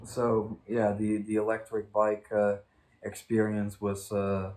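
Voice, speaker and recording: A young man telling anecdotes in casual chat, halting and rambling as he goes. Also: fairly low pitch, monotone, darkish tone. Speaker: host of a story time channel.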